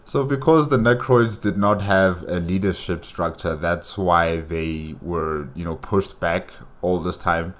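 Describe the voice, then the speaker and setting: A man hosting a reaction video with casual, halting, repetitive commentary, often dropping in "you know". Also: deep voice.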